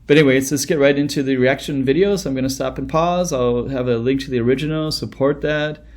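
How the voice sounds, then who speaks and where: A man's voice: medium pitch, a little fast. A man in his thirties hosting a music reaction video, talking casually and rambling with many fillers and restarts, close to a webcam indoors.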